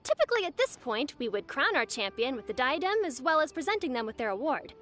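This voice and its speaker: High-voiced, formal ceremony announcer. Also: female voice, sing-song, fast, a bit bright. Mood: formal, pleased. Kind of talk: video game voice acting, ceremonial speech.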